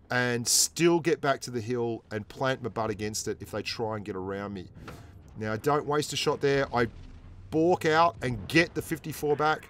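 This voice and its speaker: A man's voice: mid-range voice, slight background noise. A man with an Australian accent giving chatty, joking commentary over his own tank gameplay as host of a gaming channel. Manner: chatty, joking, self-deprecating.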